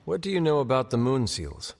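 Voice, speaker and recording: An actor playing a grave, reserved ancient warrior in a game cutscene, formal and terse. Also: male voice, mid-range voice, sing-song, fairly quick, gravelly, darkish tone. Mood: grave, questioning. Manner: formal, terse, few contractions.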